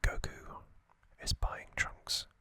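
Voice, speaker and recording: A man whispering trivia as an ASMR host into a close microphone, softly, with frequent ums and uhs. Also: takes it slowly, no echo. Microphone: close sensitive mic.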